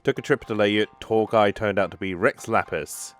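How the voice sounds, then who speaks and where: Very low-voiced woman doing game commentary. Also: moderate pace. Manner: musing aloud, echoing the game dialogue. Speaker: gamer, let's-play commentator. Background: game music.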